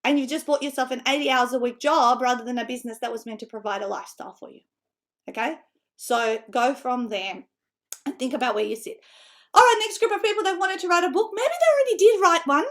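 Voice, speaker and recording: High-voiced woman with a Macedonian-tinged Australian accent. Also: quick pace. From North Macedonia. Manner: energetic, chatty, frequent tag questions and laughs. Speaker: book-writing coach. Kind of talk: online seminar presentation.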